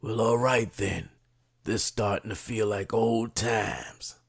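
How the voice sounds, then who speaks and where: A man voicing a gruff older male ex-soldier, with a slow, gravelly, husky drawl in an audio drama. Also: fairly low pitch, fairly even intonation, no echo, clean studio sound, exaggerated voice. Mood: easygoing.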